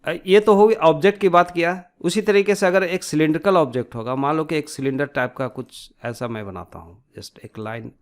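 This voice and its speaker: A man's voice: a little high, fairly quick, few pauses. A man giving an informal step-by-step tutorial, explaining by asking and answering his own questions and mixing in English technical terms. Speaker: tutorial instructor.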